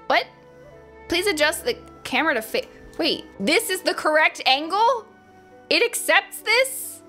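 A woman streamer with a high, swooping voice chats through a game in a casual, playful way. She takes her time between exclamations, and music plays behind her. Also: unhurried. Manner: playful, expressive, exclamatory reactions. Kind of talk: casual live gameplay commentary.

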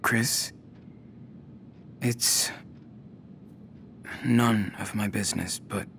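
A young man playing a caring, protective older teen brother who speaks softly and hesitantly, in a video game cutscene. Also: fairly low pitch, steady tone, very slow, gravelly, slight background noise, heavily acted. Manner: soft-spoken, hesitant.

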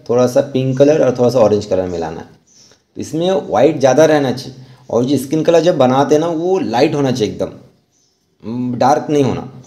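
An Indian man giving an informal step-by-step tutorial voice-over as an instructor, halting and repeating himself as he explains. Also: medium pitch, mellow. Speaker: rangoli art instructor.

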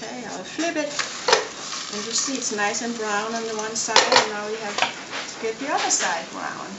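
A woman's voice: a little high, lively intonation, highs cut off. Danish-accented woman in an echoey, noisy kitchen. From Denmark. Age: middle-aged. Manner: unhurried, explaining step by step. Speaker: home cook and cooking show host. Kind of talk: casual cooking demonstration. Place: home kitchen, indoors. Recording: echoey room, loud noise, kitchen clatter.

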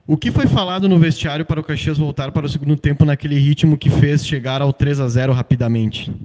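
A man reading out journalists' questions for a club press conference in a steady tone. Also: on the high side, quick pace, very noisy.

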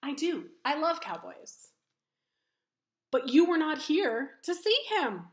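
An emotional storybook elephant acted out by a woman, high and swooping. He exclaims dramatically and swings between woe and excitement. The room adds some echo. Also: slow, exaggerated voice. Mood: wistful. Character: emotional cartoon elephant, male, sad then excitable. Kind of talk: children's picture book read-aloud. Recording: roomy echo.

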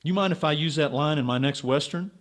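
An actor playing a stubborn, brash, sarcastic writer turned sleuth in a radio drama. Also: male voice, a little high, fairly quick, lightly acted. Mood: indignant, sarcastic. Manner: insistent, sarcastic. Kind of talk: radio drama acting with narration.

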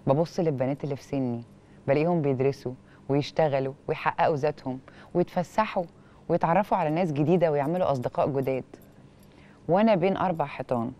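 Low-voiced, fast-talking Egyptian woman presenter. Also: dull audio. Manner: expressive, rhetorical questioning. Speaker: television host. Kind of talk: reading a viewer's letter and commenting. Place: television studio. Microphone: lapel mic.

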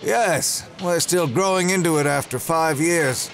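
An actor playing a smooth, controlling executive of a drug company in an audio drama. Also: male voice, a little high, measured pace, soft background music. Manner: controlling, insistent. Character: smooth commanding male pharma executive. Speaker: corporate boss.

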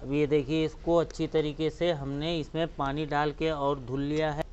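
A man's voice: on the high side, level tone, normal speed, a little mellow, smooth, dull audio. A man hosting a cooking channel, walking through each step of a recipe outdoors beside a clay stove. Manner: explains each step, points things out.

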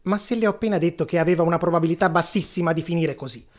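Brisk, sardonic afterlife clerk, acted out by a performer. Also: mid-range voice, crowd noise, natural voice. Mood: sardonic, impatient. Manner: matter-of-fact, sardonic. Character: brisk, wry afterlife clerk handling a queue.

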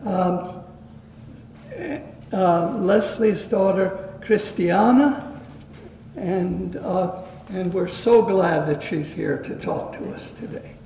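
An older man reading a prepared introduction for a lecture at a podium, measured and steady. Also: high voice. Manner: measured, reads from notes. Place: community meeting room. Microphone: stand mic at a podium. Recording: roomy hall sound, distant mic, faint hiss.

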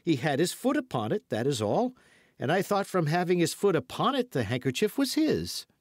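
A man voicing an eager, proud and quarrelsome young swordsman in an audiobook, with an earnest, excitable manner. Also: normal speed, no room sound, clean studio sound. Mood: timid. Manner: excitable, higher-pitched, earnest. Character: eager, proud, quarrelsome young male Gascon musketeer hopeful. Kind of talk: audiobook character dialogue.

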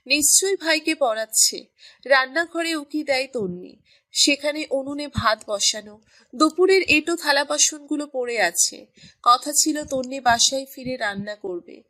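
A woman's voice: high voice, moderate pace, pushed and bright. A woman reading scripted narration for a romantic story as its storyteller.

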